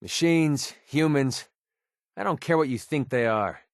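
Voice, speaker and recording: An actor playing a wry, world-weary young mercenary in a video game, with an American accent and a casual, sarcastic way of talking. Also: male voice, fairly high pitch, measured pace, no room sound, clean studio sound. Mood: cool, tough. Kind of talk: video game character voice lines.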